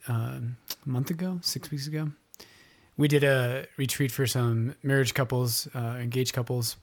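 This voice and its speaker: Easygoing priest guest telling stories. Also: male voice, mid-range voice. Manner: good-humored, storytelling. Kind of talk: casual chat.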